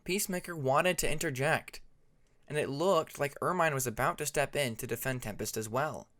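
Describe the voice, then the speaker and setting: Man narrating a story, mid-pitched. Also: moderate pace, fairly bright tone. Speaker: audiobook narrator. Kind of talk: scripted fan fiction narration.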